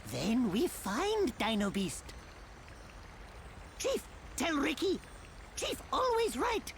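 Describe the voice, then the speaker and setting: A woman's voice: sits high, wildly swooping pitch, takes it slowly, slight background noise, exaggerated voice. A woman voicing a small, furry, cheerful male creature in a video game, childlike and eager, exclaiming in broken third-person speech. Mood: confident. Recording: music behind the voice.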